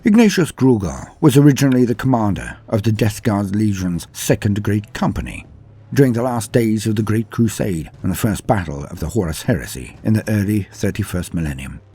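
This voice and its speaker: A man's voice: lowish voice, animated, a little fast, dark tone, husky. A man narrating scripted lore in a grandiose, theatrical storytelling manner. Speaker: narrator and presenter of a lore channel.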